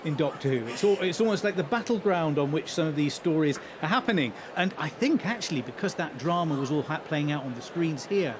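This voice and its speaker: A man's voice: fairly high pitch, lively intonation, fairly quick, hardly any pauses. A man giving articulate expert explanations as a guest in a live interview at a large concert hall. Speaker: guest commentator, broadcaster. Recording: background noise of a busy venue.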